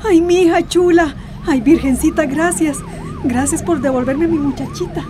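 A woman's voice: high-pitched, sing-song, moderate pace, very noisy. A woman playing an anxious, devoted mother in a radio drama, speaking emotionally and colloquially with a Central American accent. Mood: tearful relief. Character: anxious devoted mother searching for her missing daughter.

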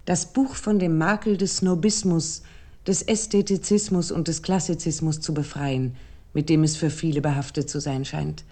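A woman playing an essayist, reading a literary essay for a radio play in a measured, formal, deliberate manner. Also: lowish voice, mellow, close to an everyday voice. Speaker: narrator.

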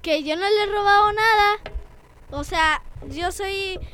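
Woman acting a defensive maid, extremely high voice. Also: unhurried. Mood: hesitant. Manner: protesting, argumentative. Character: defensive young cleaning maid, protesting her innocence. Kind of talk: improvised theatre exercise. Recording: noisy amateur recording with clicks.

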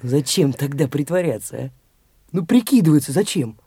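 A man's voice: on the high side, lively intonation, moderate pace, dark tone, breathy edge, dry recording, clean studio sound, exaggerated voice. A cocky pushy young guy. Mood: blunt. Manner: cocky, taunting, blunt. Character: brash cocky young man Mitya, pushy. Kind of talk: studio radio play acting.

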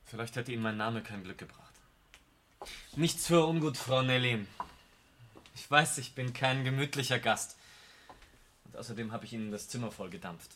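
An actor playing an embittered, restless war veteran, emphatic and formal, in a radio play. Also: male voice, mid-range voice, moderate pace, pauses often, a bit bright, a little hiss or hum, close to an everyday voice. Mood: wistful, apologetic. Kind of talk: German radio play acting.

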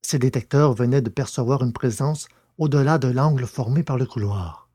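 A man's voice: medium pitch, normal speed, warm tone, breathy edge, no room sound. A man reading a scripted science-fiction story as its narrator.

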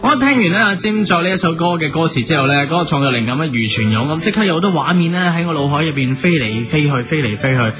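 High-voiced man with Hong Kong Cantonese accent. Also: expressive, fast talker, few pauses. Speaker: boy band member. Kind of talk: comic music show banter. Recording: music behind, radio-like sound.

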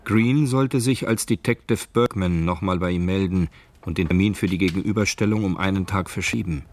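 A man's voice: low-pitched, a little fast. A man narrating a German radio crime drama as a detached, sober storyteller. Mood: measured, factual.

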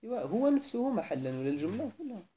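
A Moroccan teacher with a mellow, dark voice leads a grammar class, firing short questions at his students and correcting their answers. He slides between formal Arabic and his local dialect, with some words coming from farther off the mic. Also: high voice, sing-song, very fast, slight background noise. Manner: probing, repeatedly asks why and corrects.

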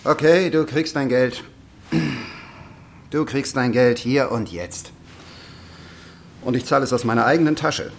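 An actor in a radio drama playing an evasive, taunting boss with a curt, needling manner. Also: male voice, medium pitch, measured pace, pauses often, close to an everyday voice. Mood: placating, uneasy. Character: evasive, taunting male boss who turns placating.

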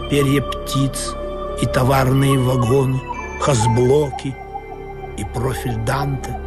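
An actor playing a wistful, questioning sailor who tells of an absurd sea voyage in a deliberate, musing radio play manner. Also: male voice, medium pitch, lightly acted. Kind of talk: literary radio play narration and acting. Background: music under the voice.

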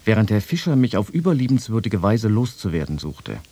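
A man's voice: on the low side, very animated, fairly quick, dark tone. A man playing a wry soldier-writer, reading a personal letter to a friend in a radio feature. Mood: wry, resigned. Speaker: quote reader in a radio feature.

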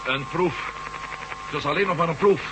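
An actor playing an intense, decisive former astronaut in a radio drama, with a serious delivery. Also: male voice, fairly high pitch, moderate pace, close to an everyday voice. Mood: urgent. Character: ex-astronaut reporter, male adventure hero, intense and decisive. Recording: faintly noisy humming radio recording.

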